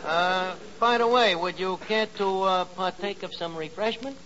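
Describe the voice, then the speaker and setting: An actor playing a pretentious, dim, lovestruck tavern manager in 1940s radio comedy, mangling highfalutin phrases. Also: male voice, measured pace. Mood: blustering. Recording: muffled 1940s radio recording with hiss.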